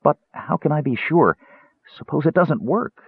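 A man's voice: on the high side, lively intonation, moderate pace, vocal fry, husky, no room sound, pitched above the performer's own voice, exaggerated voice. A man voicing an eager, naive, childlike Kender in a fantasy audiobook, earnest and chatty. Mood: excited, questioning. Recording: narrow tinny radio-like band.

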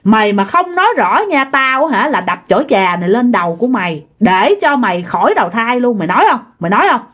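A woman's voice: on the high side, very animated, fast, a bit bright. A woman playing a fierce older mother who scolds harshly and makes threats. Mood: furious.

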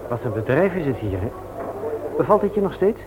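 An actor playing an earnest, reserved widowed father in a radio play, speaking in a hesitant, halting way. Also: fairly high pitch, big pitch swings. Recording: tinny old radio recording with hiss.

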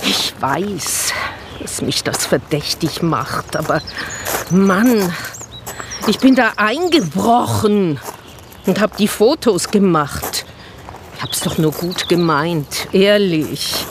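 A woman's voice: fairly low pitch, expressive, slow, background noise. A woman playing an earnest, defensive animal-rights activist in a naturalistic radio drama, speaking emphatically with a sardonic edge.

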